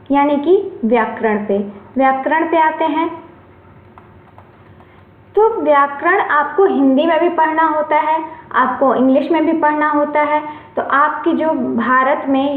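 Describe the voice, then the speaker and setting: A woman teacher giving an exam-prep lesson, explaining each point step by step and often checking in with her students. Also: high-pitched. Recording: echoey, phone-like lo-fi recording.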